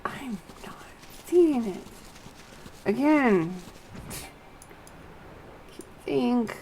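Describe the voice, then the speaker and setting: A woman's voice: a little high, animated, very slow, mellow, a little hiss or hum, rough recording. A woman streaming a game, giving casual commentary and muttering to herself in short phrases. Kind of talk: casual live gameplay commentary.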